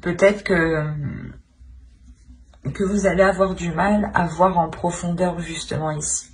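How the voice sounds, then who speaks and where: A woman's voice: lowish voice, steady tone, unhurried. A woman giving an unscripted tarot reading to viewers, feeling her way through the meaning by repeating and rephrasing. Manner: hesitant, searching, repeats and rephrases phrases. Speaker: tarot reader, channel host. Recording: clear background noise.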